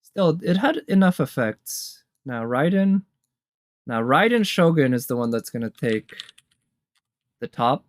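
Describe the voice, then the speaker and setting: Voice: medium pitch, animated, a little slow, no room sound, studio quality. A casual reviewer giving reaction commentary on game trailers, conversational and musing, as if thinking aloud. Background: game trailer music.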